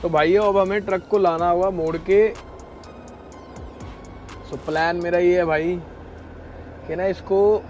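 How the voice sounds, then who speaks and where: A man's voice: sits high, dull audio. A man chatting casually and animatedly to viewers as a trucker vlogger. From India. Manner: animated, addresses viewers as brother. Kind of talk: casual vlog chat to viewers. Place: truck cab and snowy yard outdoors.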